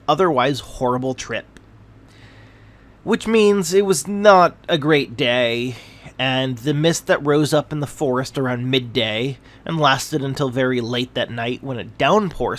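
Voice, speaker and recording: A man's voice: medium pitch, fairly bright tone. Man reading a fantasy story aloud. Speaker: storyteller narrating a fantasy tale. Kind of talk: scripted narration read aloud.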